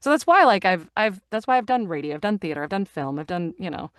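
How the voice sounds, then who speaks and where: A middle-aged woman, a voice actor and audiobook narrator, chatting in an interview with lively enthusiasm and many restarts. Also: medium pitch, fast, dry recording, clean studio sound. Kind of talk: casual interview chat. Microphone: studio microphone.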